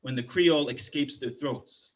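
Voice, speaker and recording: A man's voice: medium pitch, normal speed. A man who is a poet and arts educator, born in Canada and raised partly in Guyana, greets the audience and then performs a spoken word poem with a rhythmic, rising delivery.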